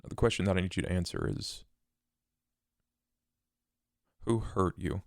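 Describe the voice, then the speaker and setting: A man voicing a cold, controlled killer in a tabletop role-play, questioning in a deliberate, formal and menacing way. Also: sits low, gravelly, no echo, studio quality. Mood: probing, solemn. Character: cold, controlled male killer interrogating his copycat.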